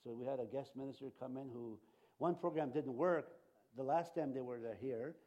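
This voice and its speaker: A man's voice: medium pitch, normal speed, a little mellow. A man preaching as a pastor, whose first language was Cree and Ojibwe, talking to his church in a rambling way with many fillers and restarts. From Canada. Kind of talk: sermon.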